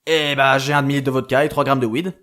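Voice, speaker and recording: A man's voice: mid-range voice, flat delivery, quick pace, a bit bright, close to an everyday voice. A man playing an exasperated young antihero, sarcastic and colloquial, in a comic audio drama. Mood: snarky, uneasy. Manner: hesitant, colloquial, snarky. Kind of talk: French comic audio fiction acting.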